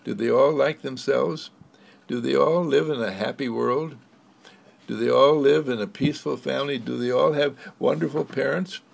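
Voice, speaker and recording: A man's voice: medium pitch, moderate pace, gravelly. A man voicing a group of happy young children in an eager, sing-song, gushing manner.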